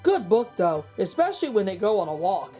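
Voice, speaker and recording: A woman's voice: mid-range voice, big pitch swings, brisk, dark tone, soft background music, voice pushed down, natural voice. A woman voicing a friendly, sheepish male neighbour in a children's storybook.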